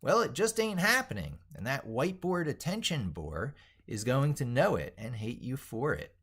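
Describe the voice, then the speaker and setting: A man's voice: medium pitch, sing-song, moderate pace, a bit bright, husky. A middle-aged man reading his own essay aloud as he works on it, in a theatrical, wordy, emphatic way. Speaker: tech educator and video host.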